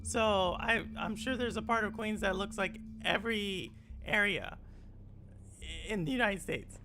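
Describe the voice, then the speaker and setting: A game streamer chatting casually to viewers during a let's play, excitable. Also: mid-range voice, measured pace, background noise. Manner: chatty, talking to chat, excitable. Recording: talk over loud game music.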